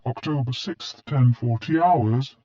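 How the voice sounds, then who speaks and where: A man's voice: mid-range voice, slow pace, dull audio. A man voicing an impersonal log computer that announces dates and times in clipped phrases for an audio drama.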